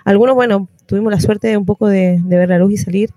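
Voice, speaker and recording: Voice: mid-range voice, fairly even intonation, quick pace, warm tone, dry recording. A radio host with a Chilean accent, chatting casually and reflectively in an informal, slangy way. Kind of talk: casual reflective interview chat.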